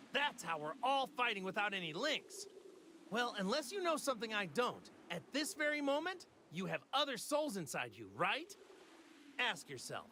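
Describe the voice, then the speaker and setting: A man's voice: high-pitched, bright, forceful tone, slight background noise, heavily acted. An actor playing a cheerful, naive martial arts hero in an anime fighting game, a man with a boyish, easygoing, earnest manner. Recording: music behind the voice.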